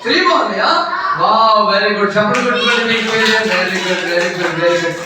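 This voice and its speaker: A man's voice: high-pitched, moderate pace. A man hosting a church children's show, animatedly addressing the kids with repeated questions in call and response. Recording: very echoey hall, distant mic, noisy.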